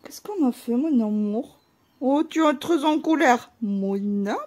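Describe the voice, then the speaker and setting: A woman's voice: on the high side, animated, measured pace, a little mellow. A woman cooing baby talk to her pets at home in a sing-song voice, full of nonsense words. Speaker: vlogger. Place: at home, indoors.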